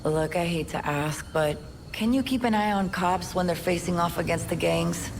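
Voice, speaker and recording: An actress playing a weary, loyal policewoman in a video game, with a gravelly voice and dry, streetwise, plain-spoken delivery. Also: fairly low pitch, normal speed, background noise. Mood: earnest, frustrated. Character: weary, world-worn female police officer, wry and loyal. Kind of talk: video game cutscene acting. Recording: music behind the voice. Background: music.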